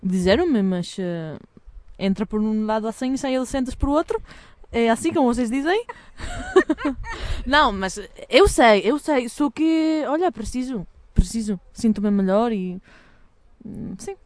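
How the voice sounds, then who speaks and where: Chatty foreign woman, slightly high voice. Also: sing-song, a little slow. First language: not Portuguese. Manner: laughs often, chatty, self-deprecating. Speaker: guest. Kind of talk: casual outdoor chat. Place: outdoors by a windmill.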